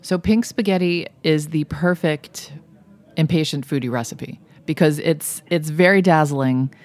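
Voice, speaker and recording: A woman's voice: sits low, unhurried, darkish tone, faint music behind. A woman hosting a radio cooking show, chatting casually with a guest while losing her voice. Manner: chatty, friendly interviewer with fillers and restarts.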